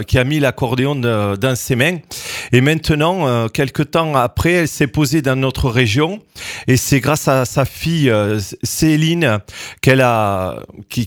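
A man hosting a radio show, introducing a guest with frequent pauses, fillers and restarts. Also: medium pitch. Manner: hesitant, many fillers and restarts. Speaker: radio host. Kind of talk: casual radio interview.

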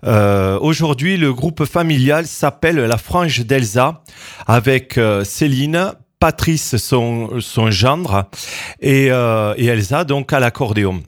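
A male radio host speaking casually in a studio, stopping often to search for words with fillers and restarts. His voice sits at a middle pitch for a man. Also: animated, slow pace. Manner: hesitant, many fillers and restarts.

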